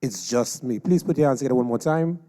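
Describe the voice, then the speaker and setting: A man's voice: medium pitch, expressive, quick pace, dark tone. A man announcing live on stage as master of ceremonies.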